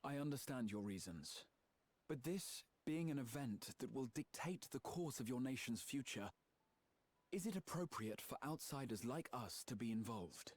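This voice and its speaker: Scholarly gentleman played by a man, game cutscene. Courteous, measured, thoughtful, medium pitch. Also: animated, no echo, close to an everyday voice. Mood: courteous, inquiring. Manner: polite, measured, formal. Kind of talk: video game cutscene acting.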